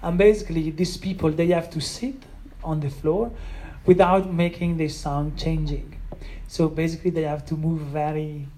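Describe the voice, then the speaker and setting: Brief: non-native English speaker, sound artist, halting and full of restarts, dark mellow tone. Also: medium pitch, steady tone, a little hiss or hum, rough recording. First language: not English. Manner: hesitant, many restarts and fillers. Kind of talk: explaining own work in a conversation.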